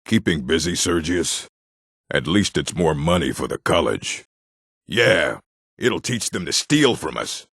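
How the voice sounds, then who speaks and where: A man voicing a gruff, stern orc for a video game, with a gravelly, husky voice and a clipped, commanding manner. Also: low-pitched, expressive, unhurried, fairly bright tone, dry recording, clean studio sound, full character voice. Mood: dry, irritable. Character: gruff male orc, stern bookish keeper and warrior. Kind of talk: video game character voice acting.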